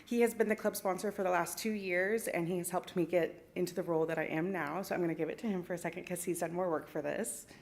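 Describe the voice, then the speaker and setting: A woman, a high school club sponsor, briefly introducing herself at a city council meeting in a casual, slightly hesitant way. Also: fairly even intonation, quick pace, hardly any pauses.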